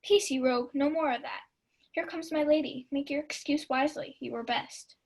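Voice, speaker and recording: A young woman playing a sharp-tongued, chiding lady's maid in a theatrical radio Shakespeare play. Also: high-pitched, steady tone, moderate pace. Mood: scolding. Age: young adult. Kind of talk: 1940s radio Shakespeare acting.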